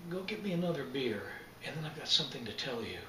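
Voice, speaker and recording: A man reading aloud, voicing a sharp, teasing woman who is a bone expert. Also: extremely low pitch, very animated, quick pace, slight background noise, heavily acted. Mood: wistful. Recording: roomy echo, distant mic.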